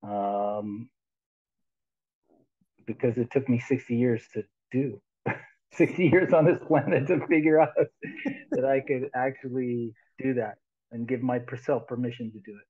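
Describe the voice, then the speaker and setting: A man's voice: mid-range voice, steady tone, a little slow, long pauses, a little mellow. A man in his sixties, a guest musician talking casually on a video call through headphones, rambling, with many restarts and frequent laughs. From United States. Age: 60s. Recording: tinny phone-like line.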